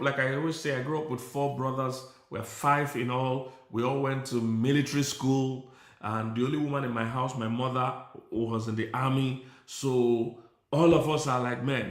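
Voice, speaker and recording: A man's voice: mid-range voice, fairly even intonation, normal speed, roomy sound. A middle-aged male pastor giving a conversational sermon, now and then breaking off to talk to his tech team. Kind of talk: sermon teaching.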